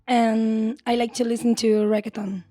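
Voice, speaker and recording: A twenty-one-year-old woman with a Spanish accent speaks on a student podcast. Her voice is mellow, fairly high and very flat in pitch. She sounds tentative and unsure. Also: moderate pace. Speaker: student panelist.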